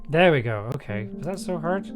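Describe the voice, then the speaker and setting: A writer livestreaming their work, thinking aloud casually in halting mutters with bursts of jokey, animated asides. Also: mid-range voice, music in the background. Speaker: audio drama writer, livestream host. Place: indoors at a desk, livestreaming. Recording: clear keyboard typing and clicking noise. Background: computer keyboard typing, clicks.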